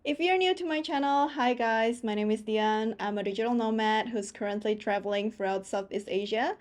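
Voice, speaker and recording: A woman's voice: fairly high pitch, normal speed. A woman from Indonesia, giving travel tips to camera in a chatty, conversational vlog style. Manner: chatty and conversational, frequent "like" fillers. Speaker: travel vlogger.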